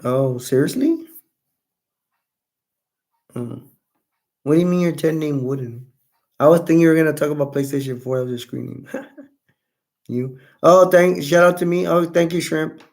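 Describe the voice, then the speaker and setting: Rambling man with a gravelly voice. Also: a little high, normal speed, pauses often, darkish tone. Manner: rambling, self-correcting, chatty. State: voice going hoarse. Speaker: live stream host reading chat. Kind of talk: casual live chat.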